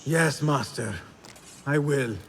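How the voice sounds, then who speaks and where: An actor playing an eager, respectful young apprentice assassin in a video game, earnest and questioning. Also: male voice, medium pitch, slow pace, gravelly, a little hiss or hum. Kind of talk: video game cutscene acting.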